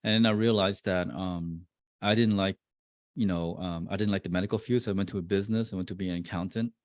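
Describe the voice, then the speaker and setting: A man in his forties, a real estate investor, answering interview questions in a halting way with many fillers and restarts. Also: low voice, steady tone, no echo. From born in Vietnam, raised in New Jersey.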